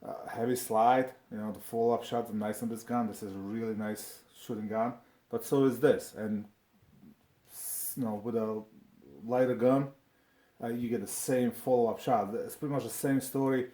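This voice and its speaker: A man from Slovakia with a Slovak accent presents a casual, unscripted review, speaking haltingly and often repeating his words. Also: mid-range voice, steady tone, warm tone. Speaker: video presenter reviewing firearms.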